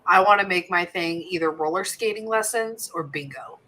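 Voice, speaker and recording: A woman co-hosting a casual podcast, a military veteran with a cold, congested and losing her voice, chatty and quick to laugh. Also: lowish voice, sharp tone. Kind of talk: casual chat.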